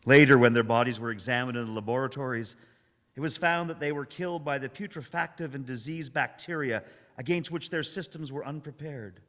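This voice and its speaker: Man playing haunted professor, solemn theatrical radio drama monologue, deliberate suspenseful phrasing, mid-pitched, long hall reverb. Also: a bit bright, natural voice. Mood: reflective, solemn. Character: haunted male professor, survivor of an alien invasion. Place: live performance in a church hall. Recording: echoey hall, live stage recording.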